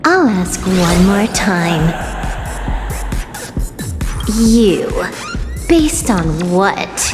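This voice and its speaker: A cocky young huntress who taunts her enemy with total self-assurance. She takes her time over each line, and a long hall reverb and clear music play behind her. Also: mid-range voice, very animated, drawn-out words. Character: cocky young woman hunter, taunting and scheming. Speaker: game heroine. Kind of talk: game cutscene voice acting.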